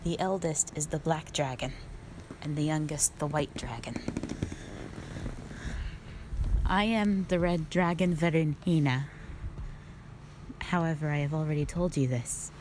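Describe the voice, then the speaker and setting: A woman playing a calm, stern, ancient female dragon guardian in a roleplay audio drama, speaking in a formal, measured, proud way. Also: deep voice, slight background noise, natural voice, low-quality recording. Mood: calm, indulgent.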